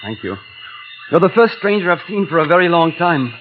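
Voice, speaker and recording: An actor in an old radio drama narrating as a weary, feverish explorer, with a measured, reserved and politely clipped delivery. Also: male voice, a little high, sing-song, background noise. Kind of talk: 1940s radio acting. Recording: muffled old radio broadcast with music.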